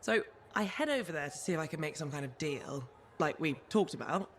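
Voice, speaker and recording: Cheeky, reckless brawler. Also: female voice, deep voice, lively intonation, fairly quick, a little hiss or hum. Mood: casual, cheeky. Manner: casual, cheeky, unrepentant. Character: reckless cocky brawler freelancer, easygoing troublemaker. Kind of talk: video game cutscene acting.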